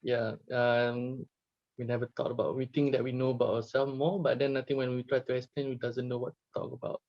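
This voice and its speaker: A man's voice: medium pitch, level tone, brisk, dry recording. A man gives a short reflection in a workshop, stopping and searching for his words. The sound is dry and close, with a dull, lo-fi quality. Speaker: workshop participant. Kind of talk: brief reflective comment.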